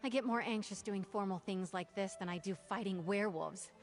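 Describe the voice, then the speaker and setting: A woman's voice: medium pitch, fairly quick, lightly acted. An actress voicing a nervous, earnest young female knight aspirant in a fantasy video game.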